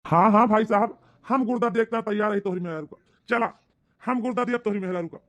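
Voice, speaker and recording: A man's voice: sits high, steady tone, fast talker, close to an everyday voice. A man playing a helpful, matter-of-fact villager in an amateur cartoon, speaking earnestly and directly with an Awadhi accent. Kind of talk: amateur comedy cartoon dialogue in rural dialect.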